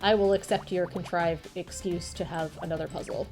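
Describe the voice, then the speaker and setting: A woman's voice: on the low side, steady tone, moderate pace, music in the background. A woman streaming a game, talking casually to herself and her viewers as she plays. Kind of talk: live game playthrough, reading dialogue aloud.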